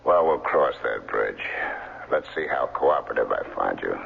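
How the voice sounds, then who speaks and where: An actor in a 1970s radio drama playing a ruthless swindler with no conscience, speaking in formal, condescending phrases. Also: male voice, a bass voice, lively intonation, moderate pace, gravelly, full character voice. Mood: smugly cool. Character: ruthless, conscienceless male swindler and captor, coolly menacing. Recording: dull 1970s radio recording, faint noise.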